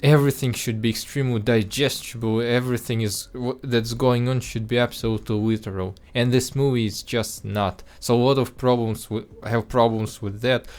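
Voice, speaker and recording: A man speaking English as a second language with an accent listeners have taken for Russian, talking through a film review in an earnest way, with frequent restarts and filler words. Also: medium pitch. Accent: Russian-sounding non-native English. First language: not English. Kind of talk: casual spoken film review.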